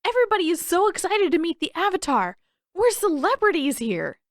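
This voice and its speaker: A woman voicing an excitable, boastful young teenage boy in an audiobook, exuberant and eager. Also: extremely high pitch, a little fast, no room sound, studio quality, pitched above the performer's own voice, exaggerated voice. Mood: excited, loud. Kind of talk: audiobook character voice.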